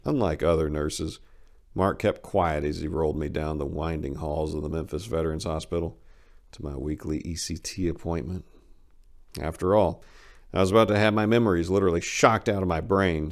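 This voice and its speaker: A man's voice: deep voice, vocal fry. A man giving a dramatic reading of a short story as its first-person narrator.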